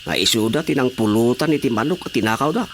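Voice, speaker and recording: A man's voice: mid-range voice, lively intonation, fast talker, a bit bright, a little hiss or hum, close to an everyday voice. An actor playing an eager village watchman reporting in an Ilocano radio drama comedy, with an Ilocano accent.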